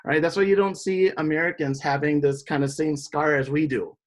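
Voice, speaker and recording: This is an instructor from Indonesia teaching a live class. The delivery is explanatory and steady, pausing often to check in with the students before moving on. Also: medium pitch. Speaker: biology instructor. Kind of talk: classroom lecture.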